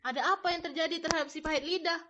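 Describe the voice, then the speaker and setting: Woman doing nosy puzzled cartoon onlooker, high sharp voice. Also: fairly even intonation, rapid-fire.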